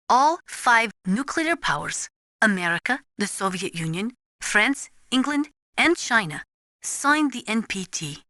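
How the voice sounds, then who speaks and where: A woman's voice: on the high side, pushed and bright, no room sound, clean studio sound. A woman playing an earnest, articulate nuclear weapons analyst in a spy video game, explaining in a precise, formal, lecturing manner. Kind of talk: scripted expository video game dialogue.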